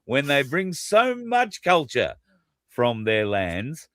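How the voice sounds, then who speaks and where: A man's voice: mid-range voice, huge pitch swings, slow, fairly bright tone, dry recording, studio quality. An Australian man co-hosting a casual live show, talking bluntly with some swearing and reading out viewer comments. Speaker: co-host. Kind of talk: casual chat.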